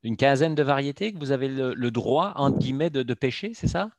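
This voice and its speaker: A man's voice: medium pitch, brisk, no echo. A man hosting an interview, asking long winding questions and giving short replies. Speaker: podcast host. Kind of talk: interviewing a guest.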